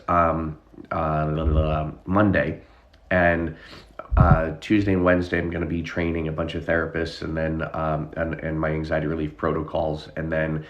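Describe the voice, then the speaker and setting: A man with a very deep voice, stuffed-up and nasal from a lingering chest cough, talks to his live-stream viewers about anxiety as a therapist. He rambles loosely, with many ums and restarts. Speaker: anxiety relief therapist and hypnotist.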